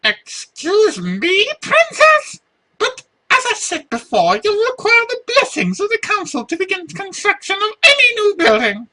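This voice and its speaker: A woman voicing an officious, scolding male pony noble with a shrill voice in a dramatic story reading. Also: wildly swooping pitch, measured pace, pushed and bright, heavily acted, poor audio. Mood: scolding, exasperated. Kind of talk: dramatic fan fiction reading.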